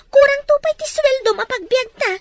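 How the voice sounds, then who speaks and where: Woman acting demanding, high-voiced girlfriend. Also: moderate pace, noisy background. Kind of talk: Ilocano audio drama acting.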